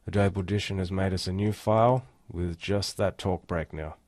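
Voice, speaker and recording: A man giving a casual, step-by-step software walkthrough as an instructor. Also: low-pitched, fairly even intonation, normal speed, no room sound. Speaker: tutorial instructor. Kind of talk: screen-recorded software tutorial, casual walkthrough.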